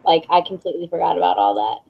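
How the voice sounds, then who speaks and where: A woman's voice: low voice, lively intonation, fast. A woman hosting a casual book discussion on a group video call, speaking in an informal, chatty way. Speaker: book club discussion host. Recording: dull lo-fi video call audio.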